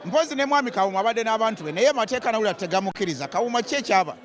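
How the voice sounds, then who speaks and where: A man's voice: high voice, animated, rapid-fire, crowd noise. A man being interviewed outdoors at an event, talking in a boastful, playful way. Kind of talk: joking casual interview.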